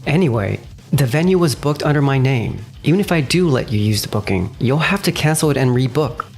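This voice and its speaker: A man's voice: medium pitch, animated, a little fast, vocal fry, soft background music. A man playing an earnest, responsible young businessman in a manga dub, narrating and speaking in character. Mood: composed, firm. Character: earnest, responsible 29-year-old businessman narrating his betrayal.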